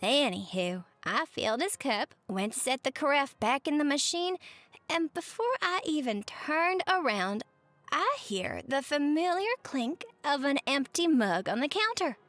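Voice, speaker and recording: A woman playing a cheerful, chatty waitress on a diner night shift in a roleplay audio, with a Southern US accent and a folksy, expressive manner. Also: high-pitched, a little slow, dry recording. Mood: chatty, amused. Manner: folksy, expressive, uses pet names. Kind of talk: roleplay monologue to the listener, chatty storytelling.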